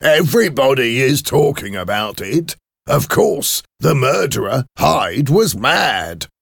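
A man's voice: mid-range voice, sing-song, slow, vocal fry, breathy edge, dry recording, clean studio sound, voice pushed up, full character voice. A man voicing a deferential, observant head clerk in a storybook, polite and emphatic. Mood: earnest. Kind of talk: audiobook character acting.

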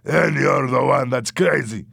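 A man playing an angry, defiant gunman in a story reading, shouting belligerent threats and demands. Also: mid-range voice, unhurried, gravelly, husky, no echo, studio quality, voice pushed up, exaggerated voice. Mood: angry, scornful.

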